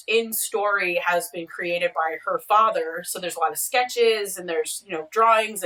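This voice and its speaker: A woman's voice: fairly low pitch, sharp tone, far from the mic, poor audio. A woman chatting casually as an interview guest over a video call, explaining things in a chatty, easygoing way. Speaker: podcast creator and showrunner, interviewed guest. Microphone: video call mic.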